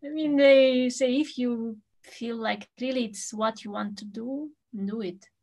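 A woman in her thirties from Russia, a native Tatar speaker, talking through an interview in English that is not her first language, thoughtful and quick to laugh, recorded from home on a webcam. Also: a little high, fairly even intonation, darkish tone. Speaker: pianist. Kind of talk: interview answers. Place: home room with a piano, indoors.

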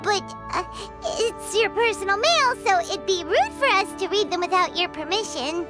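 A woman voicing a tiny, cheerful childlike fairy companion in a video game, squeaky, chirpy and naive. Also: animated, moderate pace. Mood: excited, chatty. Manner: chirpy, naive, refers to self in third person. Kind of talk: video game character dialogue. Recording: music behind the voice. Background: game music.

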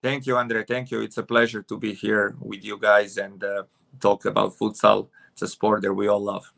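A man's voice: lowish voice, little pitch movement, normal speed, gravelly, a bit bright. A man in his forties from Serbia with a Serbian accent, a futsal coach speaking hesitantly with many fillers on a video call from home. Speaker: futsal head coach, guest. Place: room at home with curtains.

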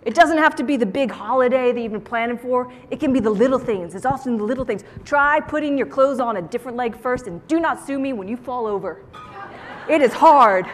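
A woman giving a motivational keynote talk to an audience on stage, with an animated, theatrical delivery and playful emphasis. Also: a little high, brisk. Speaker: keynote speaker. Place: large indoor conference room, on stage. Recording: echoey conference hall sound.

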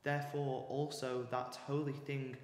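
A man's voice: medium pitch, little pitch movement, unhurried. Young guy doing a formal bible reading, solemn, big echo like in a chapel. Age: young adult. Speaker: student reader. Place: small church or chapel, indoors. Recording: echoey church with long reverb.

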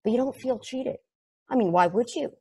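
A woman's voice: on the high side, moderate pace, a little mellow, slightly rough. A woman voicing an eager, nerdy fan who earnestly explains a strange game at a table read over video call, rambling and correcting herself. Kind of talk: table read of a stage play.

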